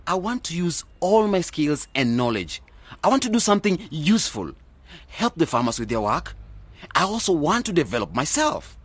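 A man's voice: fairly high pitch, very animated, normal speed, breathy edge, no echo. An actor playing an earnest, hesitant young man in his twenties, deferential and flustered, in a radio drama. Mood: defensive, earnest. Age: 20s. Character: earnest, flustered young graduate nephew defending his choices.

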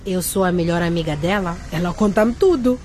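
A woman's voice: fairly low pitch, a little fast, a little mellow, slight background noise, close to an everyday voice. Woman playing a sly, taunting young schemer. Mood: cool, suspicious. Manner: taunting, sardonic. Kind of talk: radio drama acting.

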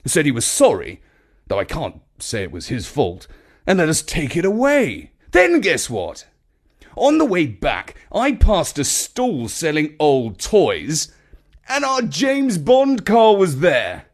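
A man's voice: fairly high pitch, wildly swooping pitch, slow pace, voice pushed up, full character voice. A man voicing a breezy, self-assured uncle in a children's story, with a drawling, emphatic, chatty way of talking. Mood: confiding, triumphant. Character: breezy, charmed, self-assured younger or middle-aged uncle.

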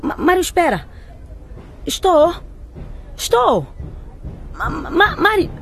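A woman's voice: extremely high pitch, big pitch swings, takes it slowly, gravelly, fairly bright tone, a little hiss or hum, heavily acted. A woman playing a worried, hard-pressed mother and wife in a radio drama, earnest, caring and questioning. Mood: alarmed.

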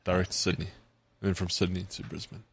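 A man's voice: deep voice, little pitch movement, fast talker, creaky, slightly rough. A man with a South African accent, co-hosting a podcast in casual chat and telling stories with a laugh. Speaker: podcast co-host.

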